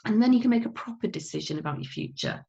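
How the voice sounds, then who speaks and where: A woman storyteller plays a nagging father from a children's novel. He sounds coaxing and incredulous, and the voice rises high as he presses his point. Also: animated, fast, creaky, warm tone, highs cut off. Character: sceptical, nagging middle-aged father, persuading his son.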